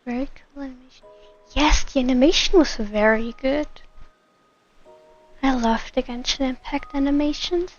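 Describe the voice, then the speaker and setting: A woman's voice: high-pitched, moderate pace, long pauses. A woman giving casual commentary as she streams a game. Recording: dull stream audio over game music.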